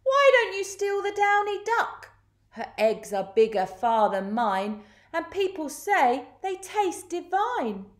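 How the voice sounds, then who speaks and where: A woman's voice: very high voice, big pitch swings, takes it slowly, reverberant, voice pushed up, exaggerated voice. A woman voicing a crafty, coaxing talking hen in a children's storybook.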